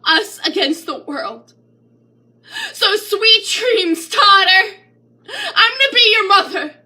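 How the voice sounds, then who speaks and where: A woman's voice: very high voice, lively intonation, slow, bright, forceful tone, voice pushed up, heavily acted. A woman performing a bitter, anguished young mother who has lost faith, in dramatic spoken-word poetry with pleading, defiant outbursts. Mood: tearful defiance.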